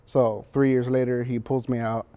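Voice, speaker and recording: A man's voice: mid-range voice, little pitch movement, normal speed, dark tone. A teenage male student actor recounting things casually in an interview. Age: teens, high school student.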